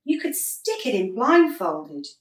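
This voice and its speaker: Earnest football manager, acted by a woman, animated, roomy. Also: a little high, takes it slowly. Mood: exasperated, sardonic. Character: earnest, exasperated female football manager coaching wayward players. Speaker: actor in a radio play. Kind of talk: radio comedy drama acting. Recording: roomy echo, distant from mic.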